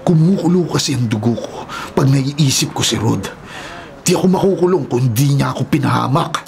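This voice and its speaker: An actor playing a brazen, vengeful illegal logger, blustering and quick-tempered, in a radio drama. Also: male voice, medium pitch, animated, vocal fry, a little mellow, slight background noise. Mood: sullen, resentful. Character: brazen, vengeful male illegal logger, hot-headed villain. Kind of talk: Tagalog radio drama acting. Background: dramatic background music.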